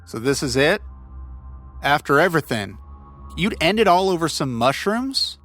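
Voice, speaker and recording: A man's voice: fairly high pitch, sing-song, normal speed, fairly bright tone, soft background music. A man playing a scrappy, stubborn bug catcher pleading with a hunting partner in an audio drama, with emphatic delivery. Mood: hurt, pleading. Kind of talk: audio fiction character dialogue.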